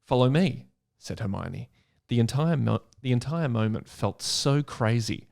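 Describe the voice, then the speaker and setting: A man's voice: medium pitch, expressive, unhurried, vocal fry, darkish tone. A man chatting casually and reading a story aloud with comic intent on a podcast.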